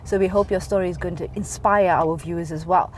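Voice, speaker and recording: A woman's voice: fairly low pitch, fairly even intonation, fairly quick, rough recording. A woman, hosting an interview and drawing out her guest with encouraging prompts, on a lapel mic in the back seat of a car. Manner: warm, encouraging, prompts with short affirmations. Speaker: show host. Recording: car with road noise behind.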